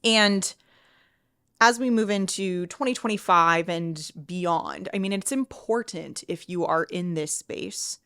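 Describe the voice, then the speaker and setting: Solo podcast host, woman, 30s, low-pitched and chatty, a bit sniffly from a cold. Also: takes it slowly, a bit bright, smooth. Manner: chatty, many "like"s and restarts. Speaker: podcast host and fitness coach.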